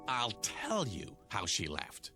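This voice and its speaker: An actor playing an excitable, earnest playwright husband in a radio drama, pleading and stammering with dramatic emphasis. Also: male voice, fairly low pitch, takes it slowly, loud music behind the voice, heavily acted. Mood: quiet, confiding.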